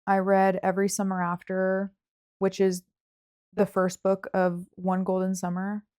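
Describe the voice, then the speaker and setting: A woman in a relaxed, informal book conversation. Her pitch sits in the middle of the range. She hedges her opinions and peppers her sentences with "like," as a podcast host talking to a friend would. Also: unhurried, clear, resonant tone. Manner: informal, hedging, frequent "like". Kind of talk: casual book chat.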